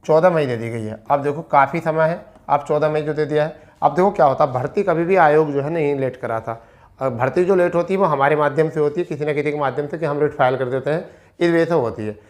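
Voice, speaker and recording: A man's voice: fairly high pitch, a little fast, darkish tone. A man with an Indian accent giving an informal explainer from an on-screen document, repeating himself and answering his own questions, with English terms mixed in. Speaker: educational video presenter.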